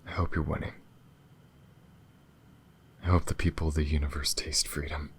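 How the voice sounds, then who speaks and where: A gentle alien man, played by a male voice actor, speaks low and with a gravelly creak in an intimate ASMR roleplay. He is wistful and devoted, soft and hesitant, with faint noise behind him. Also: little pitch movement. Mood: wistful, hopeful. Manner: soft, hesitant, breathy pauses and sighs. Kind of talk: ASMR audio roleplay, intimate monologue to the listener.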